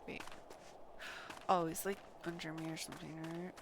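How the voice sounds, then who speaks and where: A woman livestreaming a game playthrough, reading dialogue aloud in a theatrical, deliberate way with muttered asides. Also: deep voice, level tone, background noise. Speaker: game streamer.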